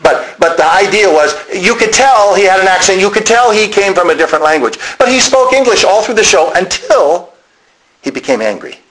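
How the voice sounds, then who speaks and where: Higher-pitched male preacher, emphatic and explanatory. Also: expressive, fairly quick, fairly bright tone, poor audio. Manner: emphatic repetition of key phrases; explanatory, addressing listeners directly. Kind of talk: sermon.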